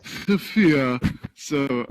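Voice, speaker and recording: A man voicing a fat, weepy, saccharine foreign traveller with a thick, slightly guttural voice and a sugary, slow, self-pitying way of talking. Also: mid-range voice, expressive, slight background noise. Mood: sugary polite.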